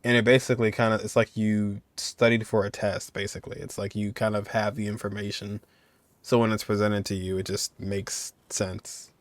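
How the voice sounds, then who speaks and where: A man with a mid-pitched voice gives casual game playthrough commentary. He talks informally, thinking aloud as he goes, and the sound is close and dry. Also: no room sound. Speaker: game streamer.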